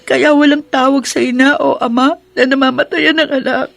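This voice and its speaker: A young daughter in a radio drama, with a very high voice and a halting, pleading manner. She sounds anxious and emotional, recorded close and dry. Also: fairly even intonation, vocal fry, fairly bright tone, dry recording. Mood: grieving, fearful. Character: anxious young daughter fearing her sick mother's death. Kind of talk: Tagalog radio drama acting.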